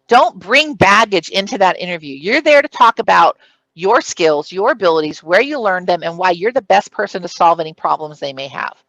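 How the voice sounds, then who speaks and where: A woman's voice: fairly low pitch, animated, moderate pace, a bit bright, no echo, poor audio. Projecting woman giving interview advice. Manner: projected, emphatic, speaks in numbered points. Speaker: conference presenter. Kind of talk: recorded conference talk giving career advice.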